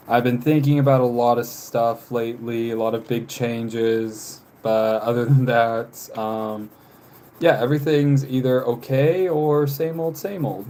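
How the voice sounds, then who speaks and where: A man in his twenties chats casually on a live stream, taking his time with each thought. His words come out slowly and wander from point to point, broken up by easy little laughs. His voice sits at an ordinary middle pitch. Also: darkish tone. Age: 20s. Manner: rambling, laughs often, reads chat messages aloud. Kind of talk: casual chat while drawing on a live stream.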